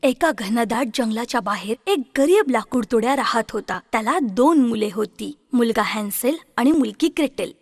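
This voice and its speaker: A woman's voice: a little high, expressive, normal speed, hardly any pauses, a little mellow, no echo, clean studio sound. A woman reading a scripted fairy tale for children as the storyteller.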